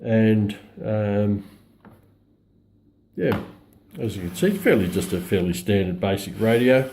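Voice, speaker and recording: Low creaky-voiced Australian man, halting. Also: expressive, dark tone, slight background noise. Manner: hesitant, halting, low-key delivery. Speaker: radio hobbyist presenting a demonstration. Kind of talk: casual unscripted product walkthrough. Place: indoors at home, radio on a countertop.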